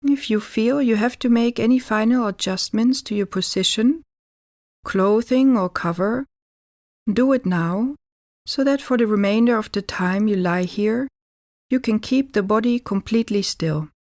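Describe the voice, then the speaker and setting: A woman guiding a relaxation meditation as a yoga teacher, calm and deliberate, giving gentle instructions with pauses. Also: a little high, dry recording, clean studio sound.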